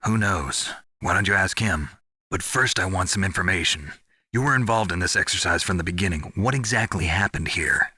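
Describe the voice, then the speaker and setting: Gruff, very deep veteran soldier. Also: male voice, normal speed, no echo, clean studio sound, full character voice. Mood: questioning, guarded. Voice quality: gravelly, husky. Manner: terse, deadpan, hard-bitten. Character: gruff, world-weary veteran male special forces soldier. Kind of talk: dramatic video game voice acting.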